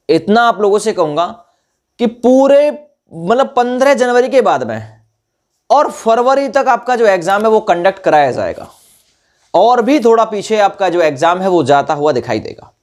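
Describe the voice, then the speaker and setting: A young man speaks in a notably high voice. He presents an informal update for students, explaining conversationally, circling back to stress his points and checking in with short tag questions. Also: a little mellow. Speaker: exam-news YouTube presenter.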